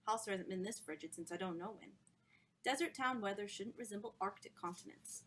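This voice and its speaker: A woman's voice: medium pitch, brisk, fairly bright tone, slight background noise, natural voice. A woman reading a story, voicing the wry inner thoughts of a skeptical man in a dry, understated way.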